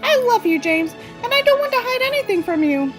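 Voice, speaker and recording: A very high, bright teenage girl played by a woman narrator. She is a sweetly dramatic girlfriend from an audiobook, overdone and girlish, with big swoops in pitch over a music bed. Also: big pitch swings, a little fast. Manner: exaggerated girlish delivery. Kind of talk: mocking audiobook character voice.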